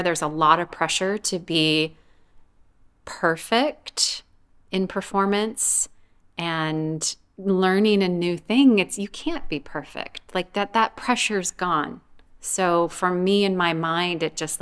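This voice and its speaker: A woman's voice: on the low side, slow, a bit bright, clear, resonant tone. A woman being interviewed, talking reflectively and casually about her own experience. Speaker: interviewee, language learner.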